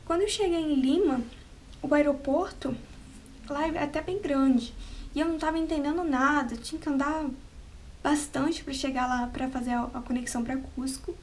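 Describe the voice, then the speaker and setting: A woman's voice: high voice, normal speed, a little hiss or hum. A woman telling a travel story to the camera in an unscripted, chatty way, often laughing and tagging on "né". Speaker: travel vlogger. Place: at home, with cats nearby.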